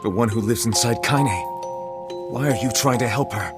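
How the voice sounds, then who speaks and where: A man's voice: mid-range voice, moderate pace, loud music behind the voice, close to an everyday voice. An actor playing an earnest, serious young male hero in a video game, worried for his companion. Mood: wary.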